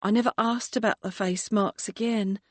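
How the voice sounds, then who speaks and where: A woman's voice: medium pitch, steady tone, moderate pace, no echo, natural voice. A young female hotel worker chatters in a confiding, gossipy way, as a woman reader plays her. Her voice is casual and talkative, and the recording is dry and close. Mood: chatty.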